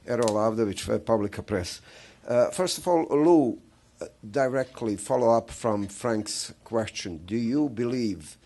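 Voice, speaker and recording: A man's voice: mid-range voice, unhurried, dark tone. A male reporter asking long, winding questions at a press conference. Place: press briefing room.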